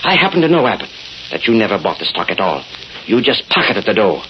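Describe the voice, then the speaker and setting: An actor playing a crooked séance medium, theatrical and insistent, in 1930s radio style. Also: male voice, fairly low pitch, big pitch swings, fast, sharp tone. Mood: defiant. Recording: muffled noisy old radio recording.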